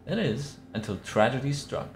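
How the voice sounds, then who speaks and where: A man giving a theatrical scripted narration with measured, affected diction in a put-on accent. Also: mid-range voice, animated, slight background noise. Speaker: streamer reading aloud. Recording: roomy echo. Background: faint music.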